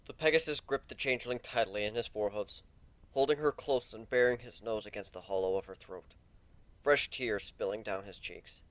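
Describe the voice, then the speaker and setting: A man's voice: on the low side, moderate pace, a bit bright, no echo. A man narrating a fan fiction story as a dramatic reading.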